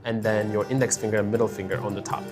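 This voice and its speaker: Young woman, calm instructive explainer, music under. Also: extremely low pitch, very fast. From Azerbaijan, raised in Turkey. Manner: instructive, patient, laughs lightly. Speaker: interviewee demonstrating coffee fortune telling. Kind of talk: explanatory conversation.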